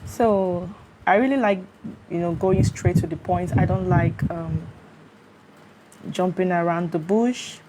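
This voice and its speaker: A Nigerian woman with a slightly low voice hosts a casual live stream from her phone, greeting viewers and checking that they can hear her. A fan hums steadily behind her. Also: takes it slowly, long pauses, heavy background noise. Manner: chatty, often greets viewers and checks the sound.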